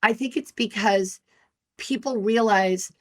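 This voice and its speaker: A woman in her fifties, an employment lawyer hosting her own podcast, talking frankly and directly to listeners in a loud, energetic voice. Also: medium pitch, slow pace. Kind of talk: solo podcast talk.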